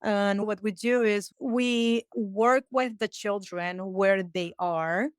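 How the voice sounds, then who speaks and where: Smooth-voiced woman with a Peruvian accent. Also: mid-range voice, takes it slowly, no echo, clean studio sound. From Peru. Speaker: interview guest, Montessori educator. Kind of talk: explanatory interview talk.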